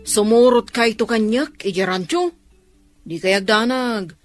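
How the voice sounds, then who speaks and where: A woman's voice: on the high side, a little slow, faint music behind, lightly acted. A woman acting a helpful, candid young go-between with an Ilocano Filipino accent in a radio drama. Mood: reassuring, persuasive.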